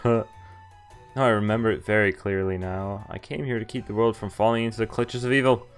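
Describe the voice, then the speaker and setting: A man's voice: lowish voice, fairly even intonation, normal speed. A man streaming a visual novel, reading its dialogue aloud and commenting casually. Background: game music.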